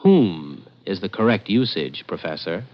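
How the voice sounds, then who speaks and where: An actor playing a wry, confident investigator in 1940s radio drama, narrating and talking in a hard-boiled, insistent manner. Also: male voice, low voice, animated, unhurried. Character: brash, wry, confident male investigator narrating his case. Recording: muffled old radio broadcast, music underneath.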